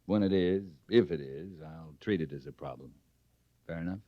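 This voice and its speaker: A steady cavalry captain in a radio western. His deep voice is measured and dryly understated, quietly confident. The old recording is dry and a little dull. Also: dry recording. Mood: firm, wry. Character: steady, wry, self-assured frontier cavalry captain. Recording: muffled vintage radio recording.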